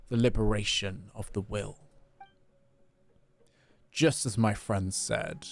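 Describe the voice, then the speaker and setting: Fantasy game villain, man reading: haughty, scornful, formal, slow and creaky. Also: fairly low pitch. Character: aloof, scornful male villain seeking liberation.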